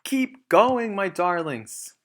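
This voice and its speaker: A man's voice: high voice, expressive, slow, a bit bright, pitched above the performer's own voice, exaggerated voice. A man voicing an urgent father fox rallying his family in a read-aloud storybook. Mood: urgent encouragement.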